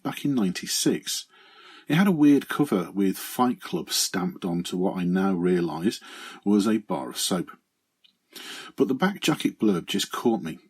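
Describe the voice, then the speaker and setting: Middle aged guy reading his podcast review piece, lowish husky voice, earnest. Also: a little mellow. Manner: earnest, reflective. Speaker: podcast segment presenter. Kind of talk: scripted review monologue.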